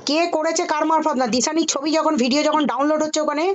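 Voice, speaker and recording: A woman's voice: high voice, fairly even intonation, fast talker. A woman on a phone call, talking insistently and lecturing, often cutting in on others. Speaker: mediator questioning others on a group call. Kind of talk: heated phone conversation. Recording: muffled call recording, voices crowding behind.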